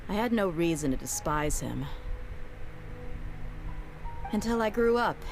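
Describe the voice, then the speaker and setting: A woman's voice: on the low side, expressive, measured pace. A woman voicing a tough, proud young mercenary in a video game, frank and self-assured. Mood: bitter. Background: game music.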